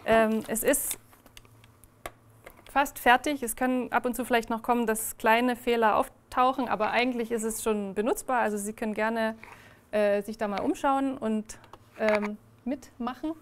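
A young woman presents her projects to a small audience in an indoor room, with a slightly high voice. She talks haltingly, with frequent fillers and restarts. The sound is picked up by a room mic at some distance. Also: fairly even intonation, a little hiss or hum. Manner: hesitant, many fillers and restarts. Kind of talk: presentation talk.

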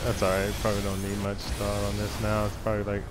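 A man's voice: lowish voice, completely flat delivery, fast, heavy background noise. A man streaming a game, giving casual commentary and muttering to himself as he thinks aloud. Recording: loud music behind the voice.

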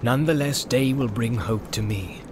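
A man's voice: mid-range voice, normal speed, noisy background, lightly acted. A man voicing a valiant, weary warrior leader in a fantasy audiobook, with grave, archaic, formal speech. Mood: grave, steadfast.

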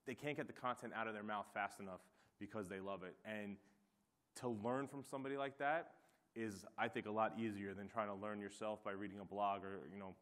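Session host: man, quick chatty delivery, lowish voice. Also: fairly even intonation, a bit bright. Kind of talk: conference panel talk.

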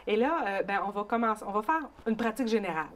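A woman's voice: mid-range voice, expressive, fast talker. A middle-aged woman with a Quebec French accent hosts a community TV interview and guides her guest with informal, friendly questions. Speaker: talk show host. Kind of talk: TV interview hosting. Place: small community TV studio.

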